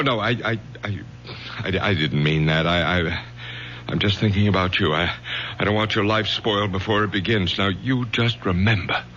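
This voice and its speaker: A man's voice: on the low side, pushed and bright, slight background noise. An actor playing a controlling, menacing professor father who gives urgent orders and stammers over his threats in a radio drama. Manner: commanding, urgent, then stammering to take back threats. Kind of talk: 1970s radio drama acting. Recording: dull lo-fi old radio broadcast.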